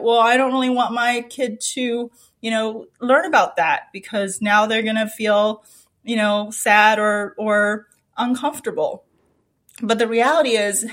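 A middle-aged woman with an American accent speaking as an interview guest, telling personal anecdotes in an animated, emphatic, conversational way. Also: medium pitch. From United States. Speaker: interview guest, children's book author.

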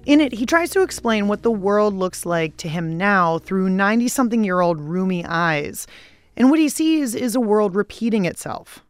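A woman hosting a radio show, reading scripted links in a brisk, upbeat, conversational way. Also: mid-range voice, expressive, no room sound.

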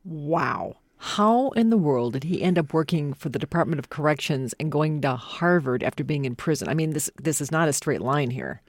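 An American woman hosting a radio series, moving between scripted introduction and conversational questions with an emphatic, expressive delivery. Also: low-pitched, normal speed, hardly any pauses, no echo, clean studio sound.

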